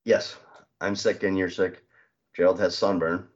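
A man's voice: low-pitched, little pitch movement, measured pace, highs cut off. A man co-hosting a podcast with a stuffed-up cold voice, making dry, easygoing quips. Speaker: podcast co-host. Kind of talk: casual chat.

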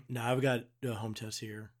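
A man with a medium-pitched voice, the podcast's producer, chimes in now and then. He keeps his replies quiet and brief. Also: steady tone, measured pace. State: sunburned.

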